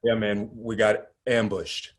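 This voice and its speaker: A man acting in a script read as a rattled, foul-mouthed leader of a trapped group, speaking in a halting, urgent way. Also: fairly low pitch, level tone. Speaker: actor in a screenplay table read. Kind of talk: acted script reading.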